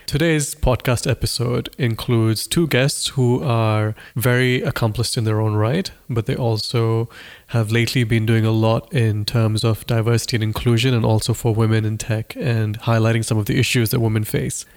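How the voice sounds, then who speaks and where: A man's voice: medium pitch, fairly even intonation, moderate pace, a little mellow. A man reading a scripted podcast introduction as host. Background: music.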